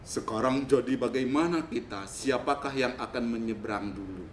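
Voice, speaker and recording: A man voicing a big, cautious eldest goat brother in a children's story, measured and full of warning. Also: close to an everyday voice. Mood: concerned. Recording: roomy echo, faint rumbling noise.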